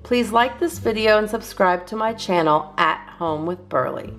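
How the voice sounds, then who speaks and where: A woman narrating a children's storybook as a storytime host, in an expressive read-aloud style, over music. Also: medium pitch, moderate pace. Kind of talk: animated children's storybook reading. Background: soft plucked guitar music.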